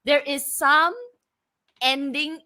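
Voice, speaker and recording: A young woman with a Malaysian English accent, co-hosting a casual chat and talking in an animated way. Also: high-pitched, slow pace. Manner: animated, laughs often, mimics accents. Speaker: co-host.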